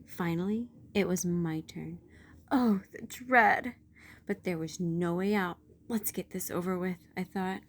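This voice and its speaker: A woman voicing an anxious, self-doubting cool bean in a children's storybook, telling the tale with dramatic, expressive delivery. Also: lowish voice, measured pace. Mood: nervous dread. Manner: dramatic, animated, sighing.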